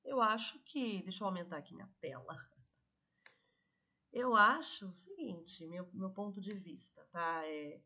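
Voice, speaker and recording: A hoarse woman with a cold talks through a reaction video in a slow, explanatory way. She keeps checking in with her audience, and her voice sits in a middle pitch. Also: pushed and bright. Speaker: spirituality video host.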